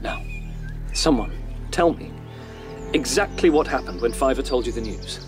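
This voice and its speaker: A man's voice: medium pitch, expressive, moderate pace, music in the background, natural voice. A British man playing an anxious, caring young rabbit leader in a radio drama, urgent and commanding. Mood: grave.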